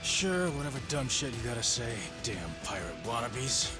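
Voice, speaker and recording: An actor playing a hot-tempered, foul-mouthed yakuza boss in a video game, curt and sneering. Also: male voice, mid-range voice, sing-song, normal speed, loud music behind the voice, lightly acted. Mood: menacing. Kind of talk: video game cutscene acting.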